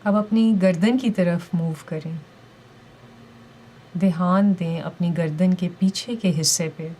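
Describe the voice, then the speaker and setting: A woman leading a guided meditation with calm, gentle, deliberate instructions and pauses between phrases. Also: lowish voice, a little hiss or hum.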